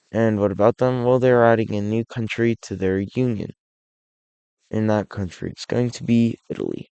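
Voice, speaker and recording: A raspy, unwell man narrating a map. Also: fairly low pitch, steady tone, moderate pace, warm tone, dry recording, poor audio. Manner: rambling, with frequent restarts and self-corrections. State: unwell, a bit hoarse. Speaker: alternate-history map series creator.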